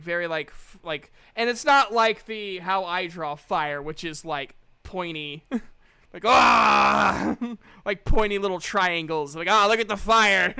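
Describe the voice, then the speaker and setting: A man's voice: high-pitched, gravelly, sharp tone, clear, resonant tone, no room sound. A man narrating a tabletop game as game master, chatting casually with a playful, sweary manner.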